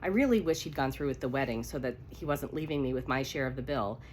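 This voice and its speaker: A woman's voice: rumbling low, fast, a little hiss or hum. A woman with a cold voicing an anxious, stubborn jilted bride in a romance novel reading. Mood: frustrated. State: congested with a cold.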